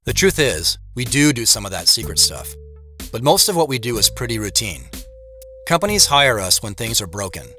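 An actor playing a measured, wry tech company boss who narrates an audio drama in the first person. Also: male voice, lowish voice, sharp tone. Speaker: narrator and lead character.

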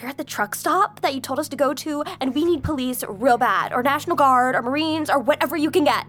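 An actress playing a frightened, excitable young woman with a girlish, pleading manner in an audio drama. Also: sits high, brisk, a little hiss or hum. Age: young adult. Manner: girlish, breathless pleading, slangy.

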